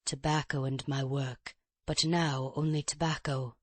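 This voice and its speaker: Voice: medium pitch, level tone, measured pace, no room sound, natural voice. A reader voicing a frail old male professor, a courteous, mincing, self-pitying chain-smoking invalid scholar, in an audiobook. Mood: mournful. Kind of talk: audiobook character reading.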